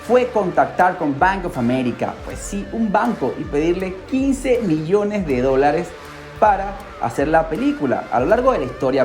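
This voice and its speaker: A man's voice: medium pitch, darkish tone. A man hosting a podcast, telling a story casually and animatedly, with rhetorical questions and asides to the listeners. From Peru. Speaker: podcast host. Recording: music behind the voice.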